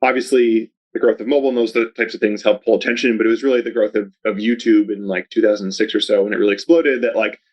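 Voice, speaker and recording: Brief: male podcast guest, lowish voice, loose and rambling, upbeat, says "like" a lot. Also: fairly even intonation, quick pace, hardly any pauses, highs cut off. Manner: rambling, heavy use of "like", upbeat. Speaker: podcast guest, video advertising researcher. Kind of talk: casual interview chat.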